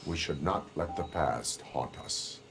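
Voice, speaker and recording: A man playing a stoic cyborg policeman in a video game, speaking in terse, measured, formal phrases with a flat synthetic tone. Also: extremely low pitch, over music. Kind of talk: video game cutscene acting.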